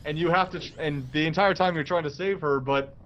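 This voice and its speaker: A young man co-hosting a let's play with casual, agreeable gaming commentary, delivered in a halting, conversational way. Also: on the high side, a bit bright, lo-fi sound.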